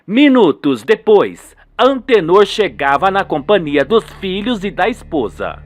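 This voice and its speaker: High-pitched Brazilian man, dramatic narration. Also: big pitch swings, measured pace. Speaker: narrator of a radio soap.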